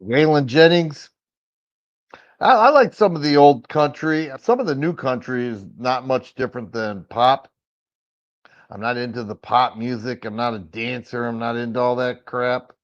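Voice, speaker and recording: Raspy-voiced man, rambling stream host. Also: mid-range voice, normal speed. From Michigan. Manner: rambling, with frequent stammered restarts. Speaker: firearms livestream host. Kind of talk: casual livestream chat answering viewers. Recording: dull lo-fi stream audio.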